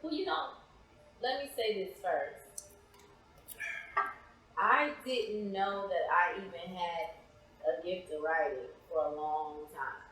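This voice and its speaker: A woman's voice: lowish voice, slow pace, pauses often, a bit bright. American woman in a very echoey room. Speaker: interview guest, playwright and author. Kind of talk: conversational interview answers.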